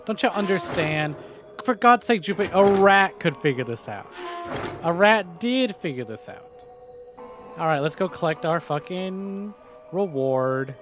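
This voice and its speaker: A man's voice: high voice, animated, unhurried. A man streaming a visual novel, reading dialogue aloud and commenting in a playful, theatrical, sarcastic way. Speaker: game streamer. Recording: music behind the voice.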